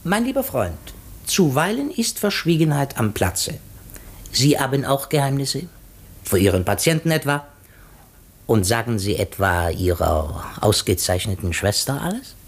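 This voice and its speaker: An actor playing a vain, shrewd little master detective in 1950s radio drama style, speaking in a pompous, cryptic, lecturing manner. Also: male voice, medium pitch, very animated, measured pace, breathy edge, exaggerated voice. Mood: sly, teasing. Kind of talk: 1950s German radio play acting.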